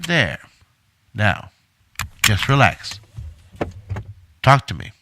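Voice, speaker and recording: An actor playing a controlling, self-assured middle-aged inventor in an audio drama, speaking in a measured, instructive way. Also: male voice, fairly low pitch, sing-song, background noise, heavily acted. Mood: smooth, persuasive.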